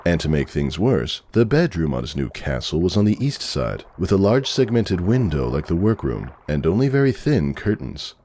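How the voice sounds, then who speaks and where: Deep, expressive male story narrator. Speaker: narrator, fan fiction reader. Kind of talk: scripted dramatic story narration.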